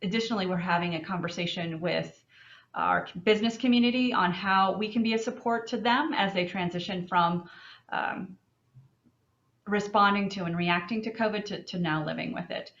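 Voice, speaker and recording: Halting woman in an echoey room. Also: medium pitch, fairly bright tone, muffled recording. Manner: halting, many fillers and restarts. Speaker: city manager giving a report. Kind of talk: public meeting report.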